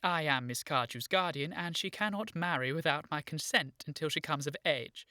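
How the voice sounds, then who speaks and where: Stiff, earnest English gentleman, upper-class, bright forceful voice, clipped and formal, radio comedy. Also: on the high side, moderate pace, no room sound, clean studio sound. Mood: indignant. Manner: formal, precise, clipped politeness. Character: earnest, indignant young English gentleman guardian. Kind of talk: radio play comedy of manners.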